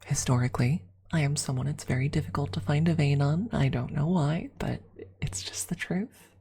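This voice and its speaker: A woman speaking softly and often in a whisper, telling a personal story as an ASMR streamer, close to the microphone. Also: low voice, moderate pace, creaky, slightly rough. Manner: hushed, often slipping into whisper. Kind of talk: soft-spoken ASMR storytelling.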